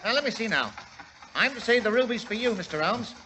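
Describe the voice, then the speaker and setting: An actor playing a chatty, muddled Cockney cab driver in a 1940s radio drama. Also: male voice, high voice, expressive, bright, forceful tone. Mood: cheeky, muddled. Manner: chatty, halting recitation of a message. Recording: old radio recording with faint hiss.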